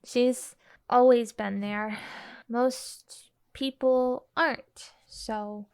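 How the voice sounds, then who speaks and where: Casting: woman as an anxious, withdrawn girl in a tabletop game, fairly high-pitched, terse and unsure. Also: steady tone, drawn-out words, a little mellow, smooth. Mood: guarded. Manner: terse, insecure, plain. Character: Dorothy, timid, downcast, guarded girl.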